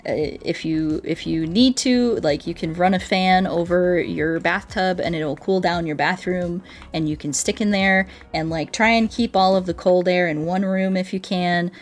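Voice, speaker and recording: Young woman, American accent, lower-pitched voice, casual rambling streamer chat, music in the background. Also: moderate pace, few pauses. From United States. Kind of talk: casual livestream chat while gaming. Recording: music playing behind the voice.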